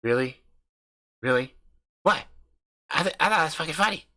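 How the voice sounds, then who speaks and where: A man voicing a loud, crude, profane husband who hunts ghosts with his wife, swaggering and brash. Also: fairly high pitch, expressive, normal speed, voice pushed up. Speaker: comedic character.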